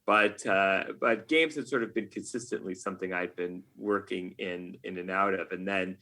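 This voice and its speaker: A man's voice: sits low, fairly even intonation, moderate pace, pushed and bright. A man talking casually as an interview guest, telling stories in a jokey, self-deprecating way. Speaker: podcast guest, game writer.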